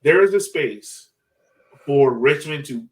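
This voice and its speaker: A man hosting a casual soccer fan podcast, talking in an animated, slangy way. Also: a little high, slow pace, far from the mic. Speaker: sports podcast host.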